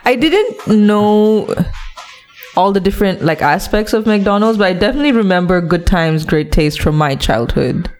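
A man's voice: high voice, animated, normal speed, clear, resonant tone, soft background music. Dry-witted man from Malaysia. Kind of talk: casual group chat.

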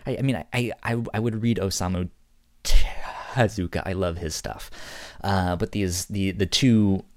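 A man co-hosting a podcast in casual chat, stuttering on syllables and words in an animated, halting way. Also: low voice, dry recording, clean studio sound. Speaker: podcast co-host.

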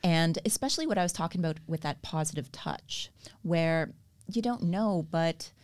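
A woman's voice: low-pitched, moderate pace, darkish tone. Canadian woman explaining stretch therapy. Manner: explanatory, earnest, laughs easily. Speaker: guest, stretch therapy educator. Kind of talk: podcast interview.

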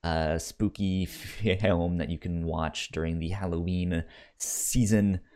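Deep-voiced man chatting haltingly. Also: fairly even intonation, a little mellow, smooth. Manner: halting, repeats words and stammers over starts. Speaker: podcast co-host. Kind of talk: casual film discussion.

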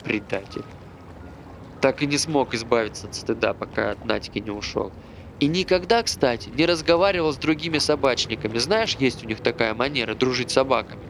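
Guy acting bitter grumbly man in a play, natural chatty delivery, mid pitch, slight background noise. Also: fairly quick, lightly acted. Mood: grumbling, irritated. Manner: grumbling, conversational. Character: bitter, aggrieved man who hates dogs. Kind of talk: naturalistic stage play dialogue.

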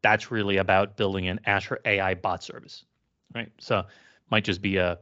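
A man co-hosting a tech podcast in a low voice. He talks casually and hesitantly, often restarting his sentences. The audio is a little dull. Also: little pitch movement, moderate pace, sharp tone. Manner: hesitant, many fillers and restarts. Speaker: podcast co-host.

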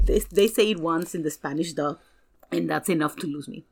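A woman's voice: low voice, moderate pace, gravelly, slightly rough. A woman chatting casually as a podcast guest, telling stories and explaining asides as she goes.

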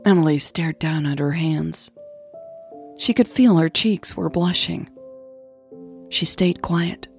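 A woman narrates a scripted romantic audio drama. Her voice is a little low and her pace is very measured, with faint music accompanying the story. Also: vocal fry.

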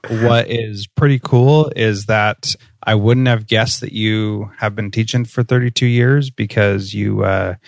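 A man's voice: lowish voice, steady tone, measured pace, creaky, few pauses, no room sound, clean studio sound. A man hosting a podcast interview, upbeat and conversational, laughing easily with his guest. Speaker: podcast host.

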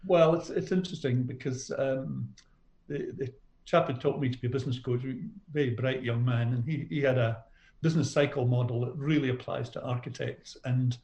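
A middle-aged Scottish man talks as a business coach in an interview. His pitch is average for a man. The sound is clearly roomy, with echo around the voice. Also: a little fast, dark tone, muffled recording. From Scotland. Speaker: business coach, podcast guest. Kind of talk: conversational interview answers.

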